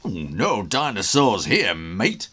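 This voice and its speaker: A man voicing a brisk, bluff male demolition worker in a children's story, loud and matter-of-fact. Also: lowish voice, wildly swooping pitch, slight background noise, heavily acted. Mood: brusque.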